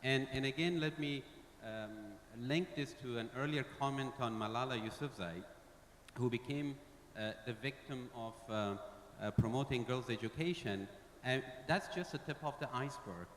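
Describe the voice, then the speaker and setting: A man giving impassioned remarks as a conference panelist, halting and repeating words as he searches for them. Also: medium pitch, fairly bright tone. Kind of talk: impassioned public speaking at a conference. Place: large conference hall. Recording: echoey, noisy conference hall.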